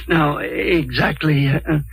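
Irascible, haughty scientist: highish, husky, fussy and sputtering, old radio drama. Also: male voice, slow, fairly bright tone, no room sound, exaggerated voice. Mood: grudging, sly. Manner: sputtering, fussy, repeats himself. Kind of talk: 1950s radio drama acting.

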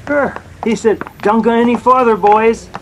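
An actor with an American accent playing a jealous, bumbling young husband, sulky, boastful and sheepishly stammering, in a 1940s radio comedy. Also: male voice, very high voice, fairly even intonation, normal speed. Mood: grumbling, sarcastic. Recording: noisy old-time radio recording.

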